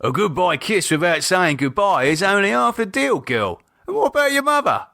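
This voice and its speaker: A man performs a cheeky middle-aged British landlord and dad in an audiobook. He banters bluntly and loudly, pitched up and swinging widely, with a very dry, close sound. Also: big pitch swings, moderate pace, vocal fry, no room sound, heavily acted. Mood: stern, playful. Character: cheeky middle-aged British pub landlord, teasing, blustering husband and father.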